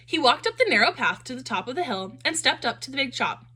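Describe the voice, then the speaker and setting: Woman reading a kids storybook out loud, lively up and down voice, bright and high. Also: quick pace, breathy edge. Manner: expressive, animated. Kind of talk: reading a children's storybook aloud.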